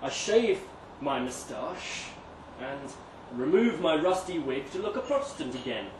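A man giving an expressive, theatrical live reading of a comic historical monologue, swelling and hushing for drama. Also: on the high side, measured pace. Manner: expressive, dramatic, with emphatic swells and hushed drops. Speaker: story reader. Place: live reading event in a venue. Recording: echoey live room, distant mic, noisy ambience.

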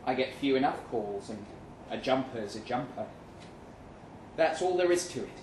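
A man performing a live short-story reading as a weary, jaded agent, speaking deliberately with dramatic pauses. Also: mid-range voice, expressive, darkish tone, lightly acted. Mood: wistful. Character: weary, jaded male agent narrating his own story. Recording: roomy live venue, some noise.

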